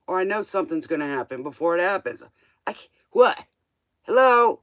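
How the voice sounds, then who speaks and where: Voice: mid-range voice, big pitch swings, brisk, fairly bright tone, no echo, clean studio sound. A livestream tarot host chatting casually in a rambling, informal way, drifting between thoughts and tossing out questioning asides.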